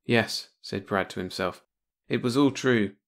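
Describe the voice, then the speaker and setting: A man's voice: fairly low pitch, moderate pace. A man reading a scripted short story as an audiobook narrator.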